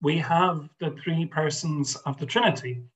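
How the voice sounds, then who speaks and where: A man's voice: fairly high pitch, moderate pace, low-quality recording. An older man, a guest scholar speaking over a video call from a home study, thoughtful and hesitant with many restarts and fillers. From Ireland. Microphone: webcam on video call.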